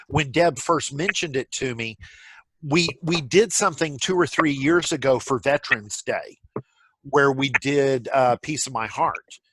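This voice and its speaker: A man's voice: mid-range voice, a bit bright, no room sound, rough recording. A man casually leading a group discussion on a video call.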